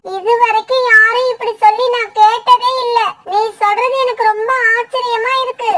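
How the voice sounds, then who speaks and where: A little boy bird in a children's cartoon, played by a woman. The voice is piercingly high and bright, with an innocent, plaintive childlike manner, slightly off the mic. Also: steady tone, normal speed, distant mic, slight background noise. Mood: puzzled, innocent. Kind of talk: children's cartoon story acting.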